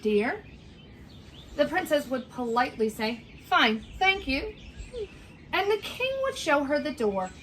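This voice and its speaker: A woman with a fairly high voice reads a children's tale aloud as a teacher. Her delivery is emphatic and swings widely in pitch, over faint background noise and birdsong. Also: fairly bright tone. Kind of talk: animated children's story read-aloud with banter.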